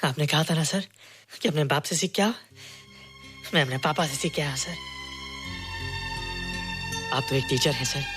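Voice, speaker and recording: An actor playing a gentle, earnest, childlike young male student in Hindi film dialogue. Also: a little high, normal speed, long pauses, faint music behind, close to an everyday voice.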